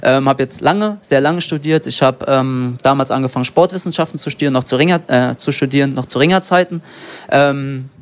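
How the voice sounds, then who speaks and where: A young man from Germany, an MMA fighter and guest, answering in a relaxed, rambling way with lots of fillers. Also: medium pitch, fairly quick. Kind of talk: casual interview.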